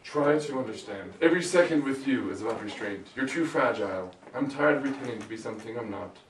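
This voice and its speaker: A man's voice: mid-range voice, expressive, quick pace, close to an everyday voice. A man playing a brooding, cold young vampire in a comedic live reading of a romance script. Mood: solemn, restrained. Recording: distant mic in echoey room, audience noise.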